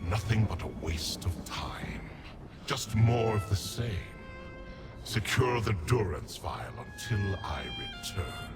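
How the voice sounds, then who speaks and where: Low-voiced, haughty villain. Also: male voice, wildly swooping pitch, slow pace, exaggerated voice. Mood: impatient, disdainful. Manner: disdainful, commanding. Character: haughty, impatient male villain interrogator. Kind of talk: video game villain acting. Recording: loud music behind.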